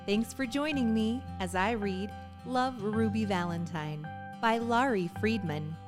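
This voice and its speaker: A woman reading a children's storybook aloud with expressive, sing-song emphasis. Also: medium pitch, unhurried, music in the background. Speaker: children's book reader.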